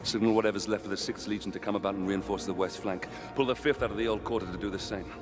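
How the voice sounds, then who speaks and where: An actor playing a defiant Roman general with a commanding manner. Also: male voice, lowish voice, fairly even intonation, quick pace, music in the background, close to an everyday voice. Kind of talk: video game cutscene acting.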